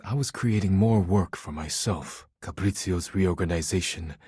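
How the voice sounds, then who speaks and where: A man voicing an earnest, proud poet in a video game, speaking in formal, solemn, stilted diction with a husky voice. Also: deep voice, moderate pace, a little mellow, no echo, clean studio sound. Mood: earnest, reflective. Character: earnest, proud male poet devoted to dishware, formal and intense. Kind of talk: video game character voice acting.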